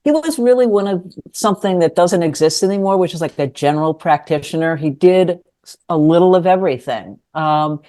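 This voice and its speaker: An older woman from New York City, a lawyer and writer, answering interview questions in a thoughtful, hesitant way with many pauses. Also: fairly low pitch, animated, warm tone. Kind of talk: conversational interview.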